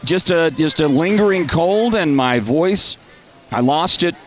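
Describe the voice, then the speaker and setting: A man with a nasal, congested voice from a lingering cold, calling a live high school basketball game in a chatty way and raising his voice on big plays. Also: animated, measured pace. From Northern Arizona. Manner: chatty, rises to loud calls on big plays. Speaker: play-by-play announcer.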